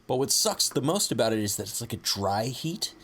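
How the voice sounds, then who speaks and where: A man's voice: medium pitch, big pitch swings, brisk, fairly bright tone. A young man co-hosting a podcast from his city apartment, laid-back, swearing and full of fillers. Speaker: podcast co-host. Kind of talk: casual chat.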